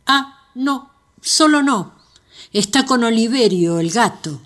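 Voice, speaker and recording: A woman with a Rioplatense Argentine accent narrating a scripted radio play in a measured storytelling manner. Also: fairly high pitch, animated, a bit bright.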